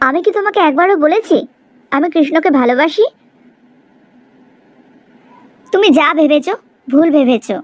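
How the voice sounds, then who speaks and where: A woman's voice: high voice, lively intonation, fast talker, a little mellow, no echo. A woman playing an earnest, self-sacrificing rich young woman in a cartoon melodrama, speaking plaintively. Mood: upset, firm.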